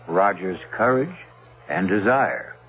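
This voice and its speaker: A man hosting and narrating a radio drama, telling the scripted story in an ominous, deliberate, dramatic way. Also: on the low side, lively intonation, narrow, tinny audio. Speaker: host and narrator. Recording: band-limited old radio recording. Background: music.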